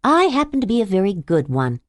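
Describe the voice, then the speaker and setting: A woman's voice: medium pitch, big pitch swings, brisk, no echo, studio quality, lightly acted. A woman narrator voicing a friendly woman shopkeeper in a witch costume who speaks in a playful, kind way.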